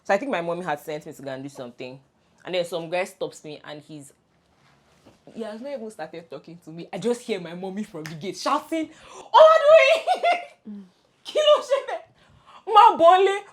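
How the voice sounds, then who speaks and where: A woman's voice: medium pitch, moderate pace. A young Nigerian woman co-hosting a casual podcast, telling stories with laughter and many restarts. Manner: animated storytelling, laughs often, many restarts. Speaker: podcast co-host. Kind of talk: casual chat between best friends.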